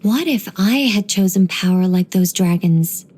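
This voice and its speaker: A woman voicing an ancient angel in a video game, dignified yet whimsical and chatty, with a measured, gently playful delivery. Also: medium pitch, lively intonation, breathy edge. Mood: wistful, serene. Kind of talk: video game character voice lines.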